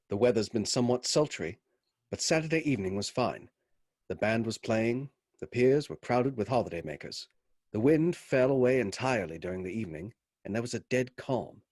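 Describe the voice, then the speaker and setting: A man plays a grave gentleman doctor as radio drama narrator. His voice is on the low side and his telling is formal and measured. The sound is close and dry, without room echo. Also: lively intonation. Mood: grave reporting. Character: Doctor Seward, sober gentleman doctor presenting evidence.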